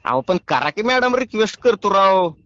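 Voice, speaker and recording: High voiced guy, village marathi accent, pestering and mock-begging on a prank call, muffled lo-fi sound. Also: very animated, moderate pace, bright, forceful tone, no room sound. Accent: rural Marathi. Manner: mock-pleading, persistent, rustic. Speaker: prank caller and show host. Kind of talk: prank phone call banter. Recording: dull lo-fi phone call audio.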